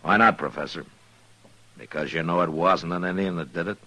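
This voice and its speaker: Very deep-voiced stern frontier marshal. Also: male voice, sharp tone, full character voice, poor audio. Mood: stern, accusing. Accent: American. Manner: terse, deliberate, plain-spoken. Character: stern, principled male US marshal in the Old West. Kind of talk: 1950s radio western acting. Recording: vintage 1950s radio recording.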